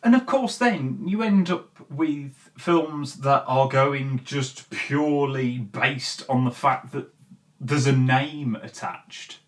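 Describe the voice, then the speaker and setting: A man hosting a commentary show, talking casually and bluntly in an exasperated, sweary way. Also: medium pitch. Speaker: host of a film commentary show.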